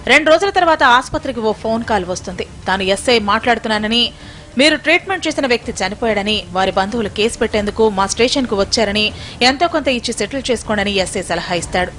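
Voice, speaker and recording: Fast-talking woman narrating news. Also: fairly high pitch, animated, a bit bright, soft background music. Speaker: news reporter doing voice-over.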